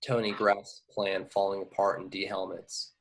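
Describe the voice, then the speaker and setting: A man's voice: low voice, completely flat delivery, normal speed, rough recording. A man reading scripted action descriptions at an amateur table read, now and then stumbling and repeating words. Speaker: stage directions reader.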